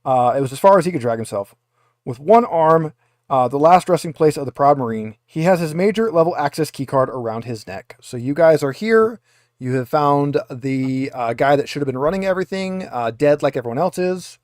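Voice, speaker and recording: A man's voice: fairly high pitch, animated, a little fast, a little mellow, no echo, studio quality. A man working as game master, informally narrating and explaining a tabletop roleplaying game.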